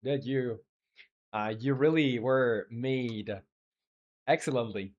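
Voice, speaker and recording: A man speaking at a mid pitch, and his first language is Spanish. He talks casually on a live coding stream, working things out aloud in halting bursts full of hesitations. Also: dull audio. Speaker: game developer streaming live.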